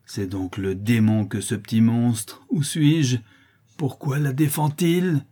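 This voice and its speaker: A man's voice: medium pitch, fairly even intonation, takes it slowly, mellow, breathy edge, pitched above the performer's own voice. A man voicing a blind old brigand, desperate and pleading, sliding toward madness. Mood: raving. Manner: pleading, raving.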